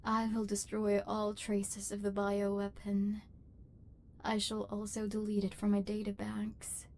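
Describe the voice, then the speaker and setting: A woman voicing a lonely, wounded female robot overlord in an ASMR roleplay, speaking in formal, measured, machine-like phrases, guarded but yearning. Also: medium pitch, monotone, darkish tone, a little hiss or hum, close to an everyday voice. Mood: vulnerable, trusting.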